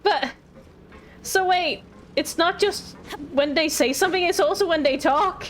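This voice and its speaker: A woman's voice: extremely high pitch, a little slow, fairly bright tone. A woman streamer giving casual game commentary in a playful, pleading and apologetic way. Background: game music.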